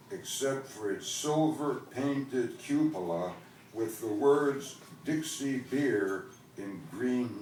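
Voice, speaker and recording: A man from Canada reads literary prose to a live venue at a slow pace. His phrasing is measured, with deliberate pauses. The sound is distant and heavily echoing, with faint noise underneath. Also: medium pitch, a little mellow. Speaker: author reading his own work. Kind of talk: literary prose reading at a book launch. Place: indoor event venue, recorded from the audience.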